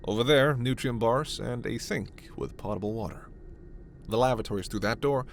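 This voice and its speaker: A man playing a gentle, authoritative alien doctor in a sci-fi ASMR roleplay, speaking in a measured, formal and reassuring way. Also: medium pitch, slight background noise, close to an everyday voice. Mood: warm, guiding. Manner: measured, formal, reassuring, soft-spoken asides. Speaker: voice performer.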